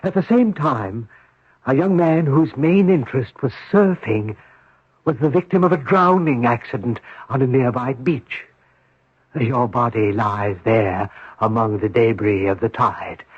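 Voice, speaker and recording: An actor playing a supernatural giant servant, formal, measured and deferential, in a 1970s radio drama. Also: male voice, medium pitch, husky. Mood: calm, ominous. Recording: roomy, muffled 1970s radio broadcast.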